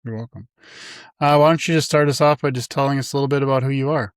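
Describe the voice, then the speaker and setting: A man's voice: medium pitch, steady tone, fast talker, dry recording, studio quality. A man hosting a podcast, greeting listeners and chatting casually with a guest in a friendly, slightly rambling way. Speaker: podcast host. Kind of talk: podcast interview.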